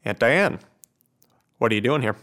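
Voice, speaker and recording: Man acting as sullen teenage boy, middling pitch, curt, irritable, evasive, radio drama. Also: lively intonation, normal speed, a bit bright, lightly acted. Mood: irritated.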